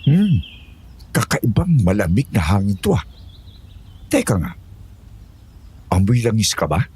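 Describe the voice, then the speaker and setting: Older male villager, played by an actor, solicitous but probing, lively swooping delivery, horror drama, faint outdoor noise. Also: fairly low pitch, measured pace. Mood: probing, suspicious. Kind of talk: audio horror drama acting.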